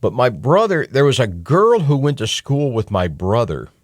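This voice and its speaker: A middle-aged male radio host lectures his listeners in a blunt, slightly profane way, stumbling through fillers and restarts. His pitch rises and falls in an animated way. The studio mic sounds close and dry. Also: moderate pace, dry recording. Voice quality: blunt, mildly profane, conversational. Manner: lively, hectoring, with frequent fillers and restarts. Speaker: radio talk show host. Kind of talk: call-in talk radio monologue. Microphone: studio microphone.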